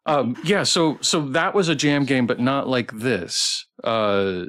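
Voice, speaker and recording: Male livestream host, mid-pitched, casual and enthusiastic, stumbling over restarts as he chats. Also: a bit bright. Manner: halting, often restarting and repeating words. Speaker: livestream host interviewing a game developer.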